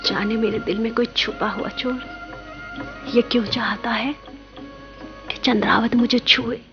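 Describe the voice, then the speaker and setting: A woman's voice: on the high side, steady tone, measured pace, lo-fi sound, natural voice. An actress playing a young, devout monastery woman tormented by forbidden love in a radio play, with dramatic, intimate delivery. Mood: anguished, torn. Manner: dramatic, breathy introspective asides and coy dialogue. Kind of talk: radio play acting with confessional monologues. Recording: radio play with background music.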